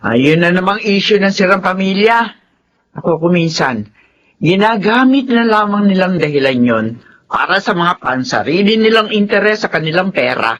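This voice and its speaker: A woman playing a caring, plain-spoken middle-aged mother and vendor with a Filipino accent, expressive in radio drama style. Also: lowish voice, moderate pace, lo-fi sound, close to an everyday voice. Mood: indignant. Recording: faint background noise.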